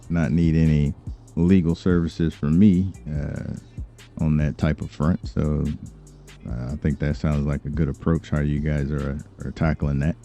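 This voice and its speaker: Deep, gravelly, mellow crime-boss lawyer played by a man. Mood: approving. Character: smooth, calm crime-boss lawyer in a crime roleplay. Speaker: gaming streamer playing a character. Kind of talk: improvised roleplay conversation.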